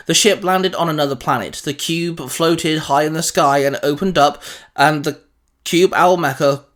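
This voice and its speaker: A man's voice: a little high, a bit bright. A man reading a scripted adventure story as its narrator, in a dramatic storytelling style.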